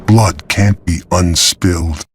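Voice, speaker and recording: An actor playing a menacing, grave figure in a dramatic video game cutscene, speaking slowly. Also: male voice, low voice, a little hiss or hum. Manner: slow, grave.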